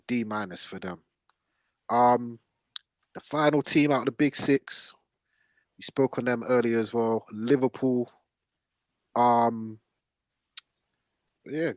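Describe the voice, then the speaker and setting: Male football podcast host, mid-pitched, blunt slangy chat, very dry recording. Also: a little slow, long pauses, dry recording. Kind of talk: casual football panel chat.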